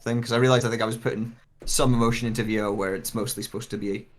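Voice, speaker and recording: A man, a voice actor, casually discussing script readings and thinking aloud in animated fragments. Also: lowish voice, quick pace. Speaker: voice actor and writer.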